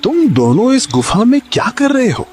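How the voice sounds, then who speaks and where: A man playing a kindly, fatherly wandering holy man in a cartoon, gentle and consoling. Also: very high-pitched, wildly swooping pitch, normal speed, faint music behind, heavily acted. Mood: questioning. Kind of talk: Hindi moral-story cartoon dialogue.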